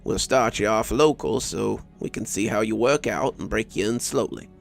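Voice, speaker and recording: A man voicing a gruff, businesslike boss of a trucking outfit, blunt and plain-spoken, in a story reading. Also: lowish voice, normal speed, soft background music, pitched below the performer's own voice. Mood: gruff, appraising.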